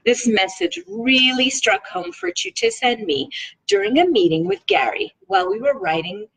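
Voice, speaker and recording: Female read-along host: expressive and informal, very animated melody with a husky, breathy texture. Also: on the high side, moderate pace, poor audio. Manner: expressive, playful. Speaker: read-along host reading a book aloud. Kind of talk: informal read-aloud of a self-help book.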